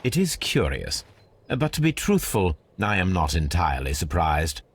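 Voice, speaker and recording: An actor playing a brilliant, aloof gentleman detective in a video game, with a British accent and a precise, measured, analytical delivery. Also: low-pitched, big pitch swings, husky, heavily acted. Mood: musing. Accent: British English. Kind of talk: video game character acting.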